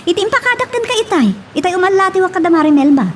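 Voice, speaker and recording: A woman playing an evasive, excitable middle-aged mother in an Ilocano audio drama, with an Ilocano accent. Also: extremely high pitch, rapid-fire, a little hiss or hum. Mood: flustered. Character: evasive, excitable mother caught hiding her plans.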